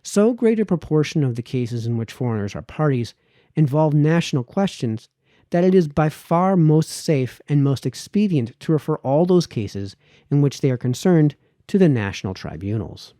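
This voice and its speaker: A man narrates a formal text in the manner of an amateur audiobook reader. His voice is mellow and mid-pitched, with very animated intonation and measured phrasing that drops at the ends of sentences. The sound is dry and close. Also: no room sound. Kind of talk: read-aloud of a formal political essay, audiobook narration.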